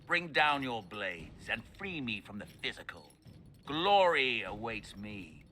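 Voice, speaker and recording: Arrogant captive noble mage, sweeping and sharp-toned, game voice role. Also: male voice, mid-range voice, very animated, takes it slowly, slight background noise, exaggerated voice. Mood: haughty. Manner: haughty, scornful, then shrieking in panic. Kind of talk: video game voice acting.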